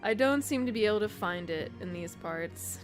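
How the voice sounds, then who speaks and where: Casting: woman voicing a terse game lancer, formal and reserved, over clear background music. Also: mid-range voice, expressive, normal speed, close to an everyday voice. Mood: reserved, matter-of-fact. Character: gruff, reserved male lancer warrior, blunt. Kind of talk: reading game dialogue aloud.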